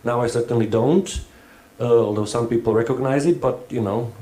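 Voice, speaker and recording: A man's voice: medium pitch, brisk, warm tone. A man who is not a native English speaker, giving a hands-on workshop lecture. He thinks aloud and halts often, restarting his sentences.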